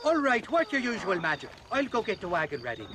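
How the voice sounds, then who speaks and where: A very high, lilting Irish male voice swings about playfully a shifty outlaw in a video game. He is glib and wheedling, full of cowardly bluster, over clearly noisy game sound effects. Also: huge pitch swings, quick pace. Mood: sly, wary. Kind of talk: video game cutscene acting.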